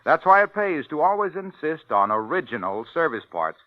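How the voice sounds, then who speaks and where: Animated high-voiced 1950s radio pitchman. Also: moderate pace, no echo. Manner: emphatic, salesmanlike. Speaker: commercial announcer. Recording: dull 1950s radio broadcast recording.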